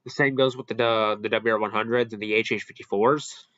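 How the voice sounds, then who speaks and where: A man hosting a casual, unscripted ranking commentary, halting and rambling as he corrects himself along the way. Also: fairly low pitch, fairly even intonation, fairly bright tone, no room sound, lo-fi sound. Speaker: video host ranking products.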